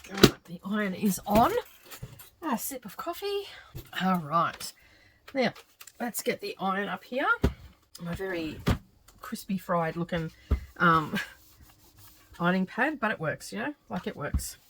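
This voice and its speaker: A middle-aged woman with a slightly croaky voice, chatting and thinking aloud as she works on a quilt for her craft channel. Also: medium pitch, very animated, unhurried, pauses often, noisy background. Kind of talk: casual craft vlog narration while working.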